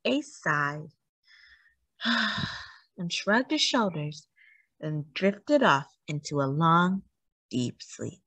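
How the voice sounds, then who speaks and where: A woman reading aloud to children as a storyteller, with an animated, expressive delivery that acts out the story. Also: sits low, very slow, pauses often. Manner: animated, acting out sighs and cheers.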